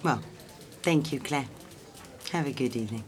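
An actress playing a polite young office secretary in an audio drama, who also narrates in the first person. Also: female voice, a bass voice, normal speed, darkish tone. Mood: polite, slightly flustered. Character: polite, fond French office secretary, engaged young woman. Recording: music behind the voice.

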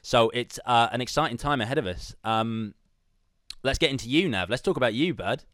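A man's voice: medium pitch, brisk, a bit bright, no echo, studio quality. A man hosting a casual sports podcast, talking in an informal, chummy way with his guest. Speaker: podcast host.

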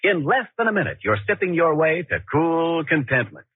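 A man's voice: on the high side, big pitch swings, normal speed, no room sound. A male radio announcer reading scripted copy with polished, emphatic 1940s salesmanship. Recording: roughened, muffled 1940s radio broadcast, faint music.

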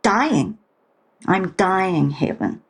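A woman's voice: lowish voice, expressive, breathy edge, heavily acted. A woman playing a blunt, stubborn older mother who is dying and estranged, in an audio drama. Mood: blunt, weary. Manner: blunt, deliberate.

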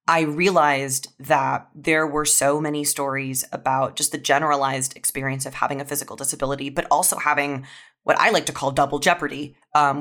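A woman's voice: low voice, a bit bright. A young adult woman talking candidly and informally as a writer being interviewed, reflecting on their own work. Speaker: audio fiction writer. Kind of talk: reflective interview talk about own writing.

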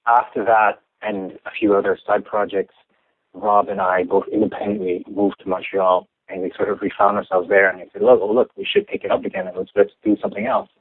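Young man from Canada, very low voice, measured explaining, dry sound, phone-line quality. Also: animated, vocal fry, breathy edge, dry recording. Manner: measured, explanatory, with some restarts. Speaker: interview guest. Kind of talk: thoughtful interview answers.

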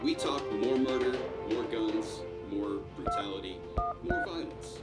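A man's voice: on the low side, measured pace, reverberant, loud music behind the voice, natural voice. An actor playing an ominous, lurid male true-crime television narrator, delivering a melodramatic promo in an audio drama.

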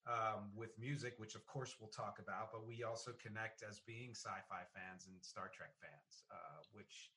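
A man's voice: lowish voice, moderate pace, hardly any pauses, bright, forceful tone. An American man hosting a casual interview, rambling and often cutting into his own sentences. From South Jersey, United States. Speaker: podcast host and interviewer.

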